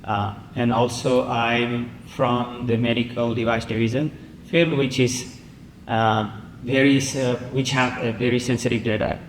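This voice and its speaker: A man from Nepal speaks as a panel guest, halting and full of fillers and pauses. His voice rings out in a large hall with a long reverb and a faint hiss behind it. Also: medium pitch, flat delivery. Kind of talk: conference panel answers. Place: large conference room.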